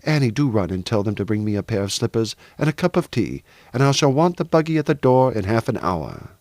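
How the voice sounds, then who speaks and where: A man voicing a brave, weary, good-humoured young gentleman soldier in an audiobook novel. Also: medium pitch, big pitch swings, fairly quick, dry recording, studio quality, voice pushed down. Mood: weary, wry.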